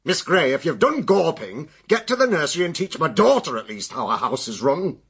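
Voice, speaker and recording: A man's voice: fairly high pitch, big pitch swings, brisk, gravelly, a bit bright, husky, heavily acted. An actor playing an irascible, pompous Victorian father with an English accent, scolding and fault-finding in a period audio drama.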